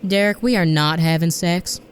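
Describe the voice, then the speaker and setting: An actress playing a tough, sarcastic woman who leads a space mission in an audio drama, with a brisk, sardonic delivery. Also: lowish voice, natural voice. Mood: teasing. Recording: noisy background ambience.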